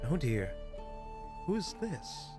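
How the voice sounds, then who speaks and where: A man's voice: a little high, big pitch swings, slow pace, music in the background. A man playing a caring, level-headed doctor in an audio drama, concerned and reassuring. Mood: troubled, reassuring. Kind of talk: dramatic audio roleplay acting.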